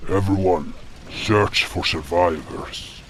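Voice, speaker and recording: A man voicing a gruff, impatient creature who leads a hunt in a fantasy audio drama, curt and commanding, with a gravelly voice. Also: sits low, takes it slowly, fairly bright tone, slight background noise, voice pushed down. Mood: urgent command.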